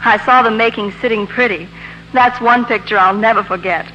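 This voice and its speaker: A woman's voice: on the high side, moderate pace, lo-fi sound. A woman giving a scripted, rehearsed 1940s radio testimonial as a guest, in a prim manner. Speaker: film studio actress, commercial guest. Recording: old radio broadcast with faint hiss.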